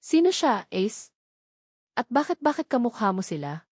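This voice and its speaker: A woman's voice: on the high side, big pitch swings, moderate pace, a little mellow, breathy edge, dry recording. Woman doing a betrayed young bride, jealous and accusing, big drama, dry story audio. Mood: shocked, accusing.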